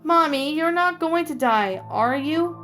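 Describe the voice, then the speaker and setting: A woman voicing a chatty, easily frightened young girl in a high voice. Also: moderate pace, faint music behind, lightly acted. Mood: tearful.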